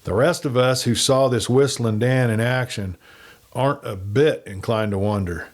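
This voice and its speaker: Man voicing calm, confident outlaw Lee Haines. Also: mid-range voice, normal speed, close to an everyday voice. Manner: composed, articulate.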